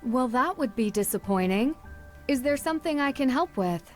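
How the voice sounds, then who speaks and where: Casting: helpful young female sleuth, bright-spirited swooping intonation, game dialogue with faint music. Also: high voice, animated, normal speed. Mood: helpful, keen. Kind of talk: video game voice acting.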